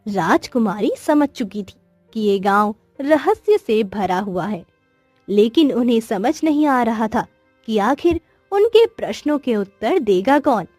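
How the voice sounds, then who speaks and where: A woman's voice: a little high, expressive, a little slow, a little mellow, no room sound, soft background music. A woman reading scripted storytelling narration for children as the narrator.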